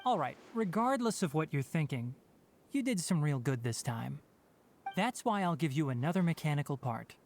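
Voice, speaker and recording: An actor playing a composed, analytical young male forest healer in a video game, articulate and measured. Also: a little high, big pitch swings, background noise. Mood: gently teasing. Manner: articulate, measured, matter-of-fact.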